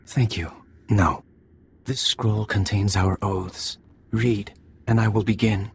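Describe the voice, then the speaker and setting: An actor playing a dutiful young alien bridegroom in a video game, speaking formally and with restraint. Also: male voice, lowish voice, fairly even intonation, slow, gravelly, a little hiss or hum. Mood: solemn, tender.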